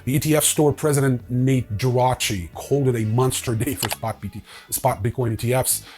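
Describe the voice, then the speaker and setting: A man's voice: mid-range voice, a little fast, darkish tone. A man hosting a news rundown in a casual, opinionated way with wry asides. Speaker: host of a crypto news show.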